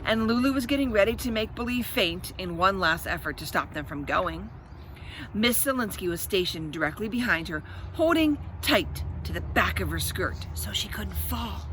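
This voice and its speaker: A woman reading a children's story aloud with expressive, dramatic emphasis and chatty asides to her listeners. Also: mid-range voice, moderate pace, a little hiss or hum, rough recording.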